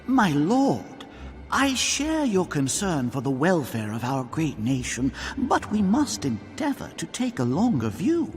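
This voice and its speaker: An actor playing a dignified syndicate lord who speaks in a formal, measured way. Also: male voice, fairly high pitch, wildly swooping pitch, long reverb tail, faint music behind. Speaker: game character.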